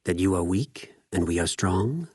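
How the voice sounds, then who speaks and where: An actor playing a cold, zealous, contemptuous legion spy in a video game, speaking formally with measured condescension. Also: male voice, sits low, lively intonation, darkish tone, husky, no echo, clean studio sound. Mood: contemptuous menace. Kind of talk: scripted video game character dialogue.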